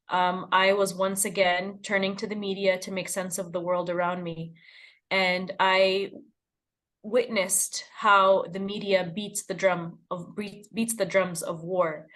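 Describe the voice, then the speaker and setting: A woman's voice: mid-range voice, a bit bright. A woman in her thirties from the United States, giving long earnest interview answers with some fillers. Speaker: guest, news outlet founder.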